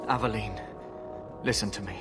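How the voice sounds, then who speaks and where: An actor playing a dying husband in a fantasy game, resigned and tender, his voice weak, strained and breathy. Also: on the low side, fairly even intonation, moderate pace, lightly acted. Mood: weak pleading. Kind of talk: dramatic game acting. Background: prominent music.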